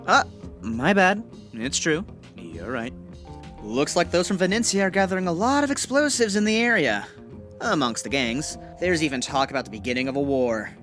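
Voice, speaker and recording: Man playing a cocky, animated young gangster gunman. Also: fairly high pitch, moderate pace, faint music behind. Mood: casual, informative. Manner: bantering, breezy, slangy. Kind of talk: audio drama acting.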